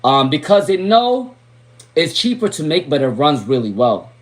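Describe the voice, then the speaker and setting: A man with a raspy, worn voice, chatting casually while giving live tarot readings and drifting into asides. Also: fairly high pitch, very animated, normal speed. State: voice worn from talking all day. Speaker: tarot reader.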